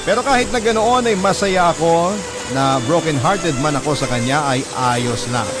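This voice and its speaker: A man's voice: fairly high pitch, natural voice. A man acting a sincere, sentimental friend narrating a personal story in a radio drama. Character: sincere, sentimental friend recounting an old love. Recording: loud music over the voice. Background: loud music.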